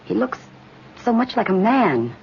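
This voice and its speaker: An actress playing an anxious daughter of a scientist in an old radio drama, with an emotive, hesitant delivery. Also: female voice, a little high, big pitch swings, measured pace, creaky, a little mellow, full character voice. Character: anxious, fearful scientist's daughter, technically knowledgeable. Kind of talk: 1950s radio drama acting. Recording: muffled, faintly noisy old radio recording.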